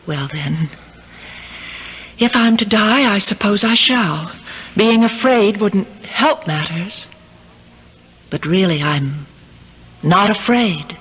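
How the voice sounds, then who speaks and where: A woman's voice: medium pitch, lively intonation, takes it slowly, pauses often, a bit bright, slightly rough, in a large hall, exaggerated voice. An actress playing an earnest, brave woman in a 1970s gothic radio drama. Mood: calm, fearless. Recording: dull, slightly noisy old radio recording.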